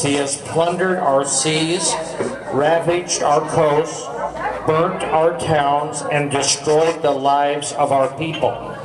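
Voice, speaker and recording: An American man giving a formal public recitation in deliberate, halting phrases. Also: fairly high pitch. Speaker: speaker at a public event. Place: public gathering in a park. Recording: echoey, distant mic amid crowd noise.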